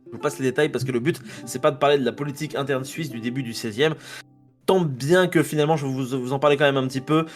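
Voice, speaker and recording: A man's voice: mid-range voice, fast, faint music behind. A French man presenting a history segment on a casual podcast. He reads from his notes, stumbles over foreign names and jokes about his own slips.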